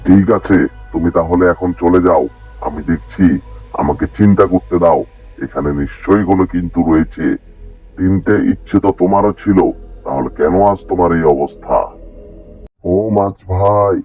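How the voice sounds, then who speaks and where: A man's voice: low-pitched, moderate pace, dark tone. A man playing an honest, kind village fisherman in a cartoon folk tale, earnest and questioning. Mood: puzzled, doubtful. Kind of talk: animated folk tale acting. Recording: dull lo-fi sound, music behind.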